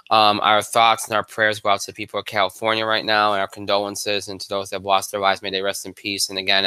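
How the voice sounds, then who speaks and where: A man hosting a live sports show alone, congested and a bit hoarse, rambling with lots of fillers and restarts. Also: pushed and bright, no room sound. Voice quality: high voice for a man.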